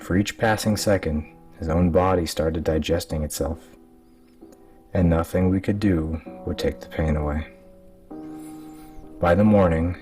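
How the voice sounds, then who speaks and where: Deep-voiced man narrating horror. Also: darkish tone. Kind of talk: scripted dramatic narration of a horror story. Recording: distant mic with music underneath. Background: music.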